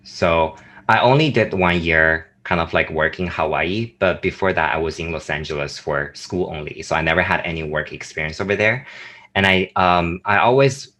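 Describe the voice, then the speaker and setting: Bright-voiced young man, Chinese accent. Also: low voice, normal speed. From China. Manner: chatty, lively, many fillers and restarts. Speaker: guest, YouTuber. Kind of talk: casual chat on a video call. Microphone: video call.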